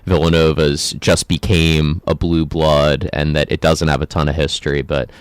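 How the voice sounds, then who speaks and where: Very deep-voiced young American man. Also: little pitch movement, moderate pace, clean tone, no echo. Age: young adult. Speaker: student radio sports interviewer. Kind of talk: conversational sports interview.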